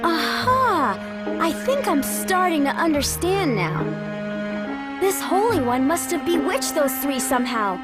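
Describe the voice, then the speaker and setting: Loud music backs a spirited young adventurer girl in a video game. Her voice is high and lively, brisk and confident. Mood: eager. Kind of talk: video game cutscene acting.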